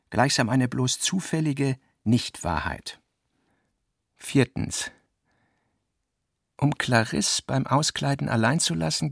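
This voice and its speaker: A man gives a literary reading of draft notes for a radio play, with deliberate, enunciated delivery. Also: mid-range voice, vocal fry, husky, dry recording, studio quality.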